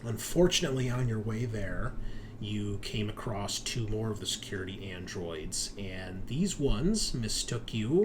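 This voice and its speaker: Low-voiced man narrating as game master. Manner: conversational, improvised storytelling. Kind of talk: recapping and narrating a tabletop roleplaying session. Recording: clear background noise.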